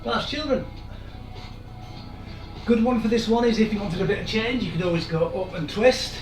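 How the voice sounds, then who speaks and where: A man, hoarse and losing his voice, leading a live-streamed workout with chatty, encouraging asides. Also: high voice, moderate pace, distant mic. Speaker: fitness instructor. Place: room in an old house. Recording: echoey room, off-mic, music behind.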